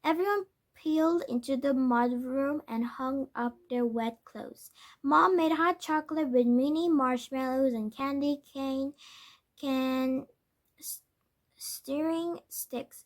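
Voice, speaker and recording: A woman narrates a children's storybook in a high-pitched voice. Her pace is slow and halting, and she stumbles over words and corrects them as she goes. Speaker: read-aloud storyteller. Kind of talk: scripted children's book narration.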